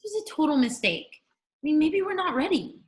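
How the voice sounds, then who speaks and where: A woman's voice: high voice, big pitch swings, brisk. An actress reading an anxious teenage girl who is the loyal best friend, at a table read. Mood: worried.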